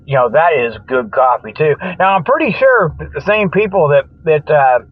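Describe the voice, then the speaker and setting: High-pitched folksy older man, dull radio sound. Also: sing-song, normal speed, no room sound. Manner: folksy, jokey, chuckles at own jokes, loses his train of thought. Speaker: host of a comic radio show. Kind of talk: rambling tongue-in-cheek radio monologue with mock sponsor plugs.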